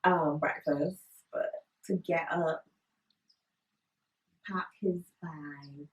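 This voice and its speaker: A woman's voice: sits low, extremely slow, lo-fi sound. A woman from Barbados, hosting a casual chat and telling stories candidly. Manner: candid, expressive storyteller. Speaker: podcast host. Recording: roomy echo, distant mic.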